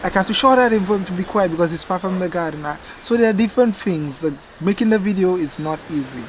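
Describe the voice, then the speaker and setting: A man's voice: high voice, quick pace, a little hiss or hum. Chatty man gardening outdoors. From Dominica. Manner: relaxed and chatty, self-correcting, laughs at his slips. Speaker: gardening channel host. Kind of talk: casual rambling chat to camera. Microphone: camcorder microphone.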